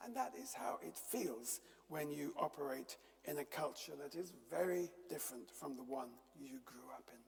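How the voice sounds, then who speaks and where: Gravelly older man lecturing in a church hall. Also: on the high side, lively intonation. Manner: measured, wry storytelling with frequent ums. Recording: long reverb of a church hall.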